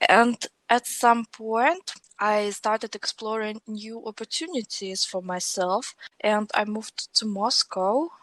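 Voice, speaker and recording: A woman's voice: mid-range voice, slow pace, fairly bright tone, low-quality recording. A woman in her thirties with a Russian accent, talking conversationally as an interview guest. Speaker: podcast guest, technical trainer.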